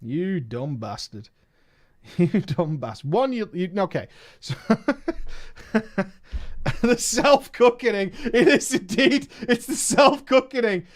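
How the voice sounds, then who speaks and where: A man's voice: high voice, animated, slow pace, pauses often. A man streamer reading a viewer's story aloud and breaking in with emphatic, mocking asides.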